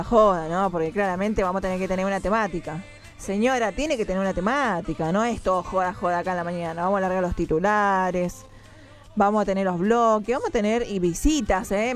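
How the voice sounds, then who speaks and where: A woman from Mendoza, Argentina, with an Argentine accent, hosting a morning radio show and chatting directly with her listeners. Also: medium pitch. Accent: Argentine, mixed Mendoza and Córdoba. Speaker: radio host. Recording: music playing behind the voice. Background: music.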